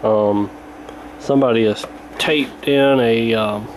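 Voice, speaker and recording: Folksy man over a steady room hum. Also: medium pitch, slow, low-quality recording. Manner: folksy, colloquial, thinking aloud. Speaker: radio repair hobbyist presenting. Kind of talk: casual hands-on repair walkthrough.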